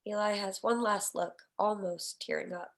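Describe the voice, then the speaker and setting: A woman reading stage directions at a screenplay table read, with an expressive, wry delivery. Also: mid-range voice, moderate pace.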